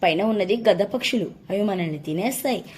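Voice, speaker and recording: A woman's voice: medium pitch, brisk, darkish tone, slight background noise, close to an everyday voice. A woman voicing a protective mother hen in a children's story, motherly and firm. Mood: scolding.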